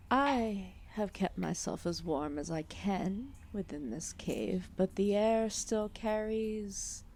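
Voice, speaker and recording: Woman playing an ancient serpent goddess, extremely slow, gravelly low creak, regal, condescending, drowsy, ASMR audio drama. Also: mellow, a little hiss or hum. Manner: slow, languid, formal, condescending speech.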